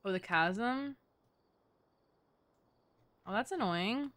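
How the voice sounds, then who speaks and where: A woman streamer giving casual, excitable, exclamatory live gaming commentary, swearing freely. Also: medium pitch, drawn-out words. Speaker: video game streamer.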